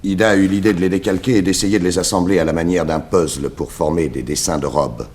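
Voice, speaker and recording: An actor in a 1960s radio serial playing a confident, methodical private detective who explains things with assurance. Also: male voice, low voice, fairly even intonation, quick pace, a little mellow. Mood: assured, explaining. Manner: assured, explanatory, crisp deductions.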